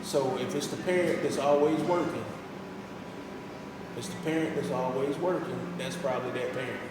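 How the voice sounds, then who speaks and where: Brief: deep-voiced male co-host, emphatic, insistent, recorded distant in a reverberant room. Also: fairly even intonation, normal speed. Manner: emphatic, insistent, repeats himself when arguing a point. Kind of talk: casual discussion. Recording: echoey room, far from the mic, with loud background noise.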